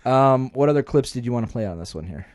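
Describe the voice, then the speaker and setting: A man's voice: medium pitch, animated, quick pace, darkish tone. A man co-hosting a podcast, chatting casually and explaining things in a conversational way. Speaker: podcast co-host. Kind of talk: casual chat.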